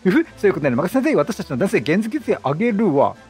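A man's voice: high voice, sing-song, racing, darkish tone, slightly rough, soft background music, exaggerated voice. A man voicing a flamboyant, motherly game entertainer with feminine wording and confident reassurance. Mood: upbeat.

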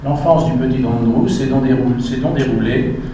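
A man's voice: mid-range voice, steady tone, normal speed, mellow. A man giving a history lecture to an audience, reading a prepared text with the odd aside about his slides. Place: lecture hall. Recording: echoey hall, distant mic, noisy.

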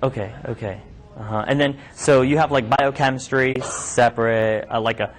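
A man in his thirties, originally from New York, chats informally with a room of medical students. His pitch sits in an ordinary middle range, and he hesitates often, circling back with ums and false starts. The sound comes from a camera across an indoor classroom lab. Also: fairly even intonation, moderate pace. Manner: conversational, hesitant, with many ums and restarts. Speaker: physician speaking to medical students. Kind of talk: informal talk with questions from the audience.

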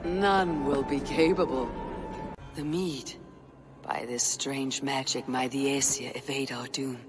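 An actor playing a stern, cunning warrior god in a fantasy video game, speaking in a formal, authoritative manner. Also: male voice, mid-range voice, fairly even intonation, takes it slowly, music in the background, close to an everyday voice. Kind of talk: dramatic fantasy game acting.

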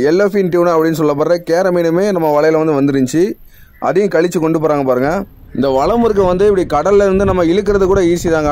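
A man's voice: sits high, darkish tone, a little hiss or hum. A young adult man with a Tamil accent, a fisherman explaining his work to viewers in a repetitive, direct manner. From India. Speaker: fisherman vlogger. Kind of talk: explaining narration over footage.

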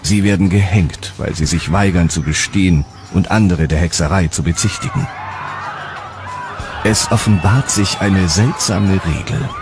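A man with a very low voice narrates a historical documentary from a dramatic script. Music plays clearly behind him. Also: normal speed.

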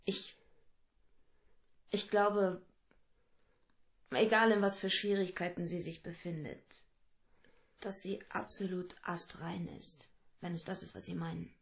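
An actress playing a loyal, dutiful secretary to a detective in a radio drama, matter-of-fact and confiding. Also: female voice, on the low side, steady tone, takes it slowly, creaky, pauses often, husky, exaggerated voice. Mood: hesitant, concerned.

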